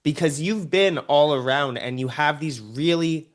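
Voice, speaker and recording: A man's voice: a little high, measured pace. A man hosting a podcast interview, asking questions in an admiring, enthusiastic way. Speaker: podcast host. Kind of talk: casual interview chat.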